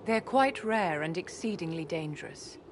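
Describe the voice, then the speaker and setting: An actress voicing a haughty, secretive court enchantress in a video game, poised and condescending. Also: female voice, fairly low pitch, expressive, moderate pace, a little hiss or hum, lightly acted. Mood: imperious. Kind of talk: video game cutscene dialogue.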